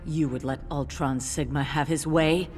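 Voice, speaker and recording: A woman's voice: sits low, steady tone, moderate pace, darkish tone, lightly acted. A stern woman of a game drama , voiced by an actress. She is a warlord's defiant daughter with a grave, blunt way of speaking. Music plays faintly behind her. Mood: stern, urgent. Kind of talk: video game drama acting.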